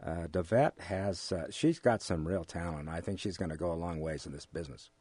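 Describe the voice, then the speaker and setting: An American man being interviewed, speaking in a halting, measured way with formal, academic wording. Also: low voice, a little mellow, breathy edge, no echo. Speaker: interview guest, amateur radio drama actor. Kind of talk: relaxed interview conversation.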